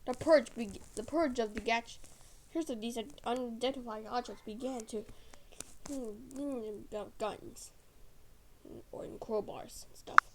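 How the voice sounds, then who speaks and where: A woman's voice: on the high side, a little slow. A woman improvising a story in a hesitant way, repeating herself and trailing off. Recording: noisy amateur recording with clicks.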